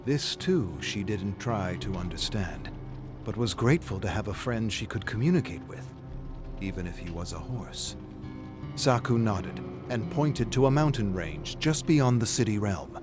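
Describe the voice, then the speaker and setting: A male actor playing a grave storyteller, narrating a dark fantasy saga in a deliberate, suspenseful way. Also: fairly low pitch, animated. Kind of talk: scripted dramatic narration. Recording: music behind the voice. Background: music.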